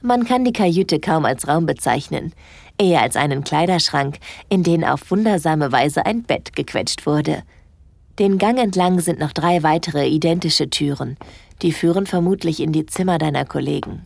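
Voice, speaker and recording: A woman playing a shy yet bold young cruise guest in an intimate audio drama, speaking softly and hesitantly. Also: low-pitched, lively intonation, normal speed, vocal fry, no echo, heavily acted. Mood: intimate musing.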